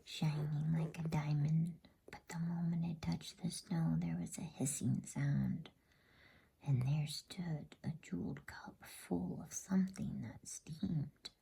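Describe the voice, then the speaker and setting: Casting: female ASMR storybook narrator, hushed and breathy, very dark, soft tone, soothing and unhurried. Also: low-pitched, steady tone. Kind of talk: whispered ASMR bedtime story reading.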